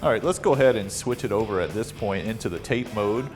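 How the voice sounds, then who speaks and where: A man hosting a repair video, talking in a casual, unhurried, conversational way as he demonstrates equipment. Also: lowish voice, a little mellow, faint music behind. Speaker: host of electronics repair videos. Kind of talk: casual product demonstration talk.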